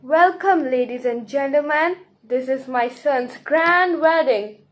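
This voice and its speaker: A woman's voice: high-pitched, takes it slowly. A woman playing a jubilant, exclamatory royal host of a wedding party in an amateur puppet show. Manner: exclamatory, festive.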